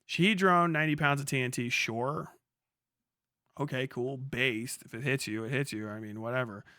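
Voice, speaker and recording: A man's voice: mid-range voice, moderate pace, sharp tone, no echo, studio quality. A man giving casual, off-the-cuff livestream commentary as a military veteran, slangy and full of swearing. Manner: profane, slangy.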